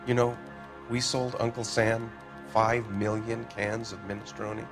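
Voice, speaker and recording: An actor playing a pompous, evasive middle-aged factory owner who blusters and dodges questions, with music behind him. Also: male voice, medium pitch, level tone, unhurried, close to an everyday voice. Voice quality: blustering, defensive. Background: music score.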